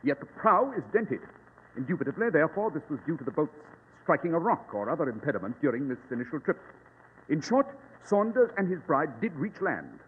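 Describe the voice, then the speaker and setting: Brilliant, pompous British sleuth, very animated delivery, reverberant vintage radio sound. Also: male voice, fairly high pitch, brisk, husky, exaggerated voice. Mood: confident, deductive. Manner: pompous, exact, formal deduction. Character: Sherlock Holmes, brilliant, imperious, precise English detective. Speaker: actor in a radio detective drama. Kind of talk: 1940s radio acting. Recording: tinny, reverberant, noisy old radio recording.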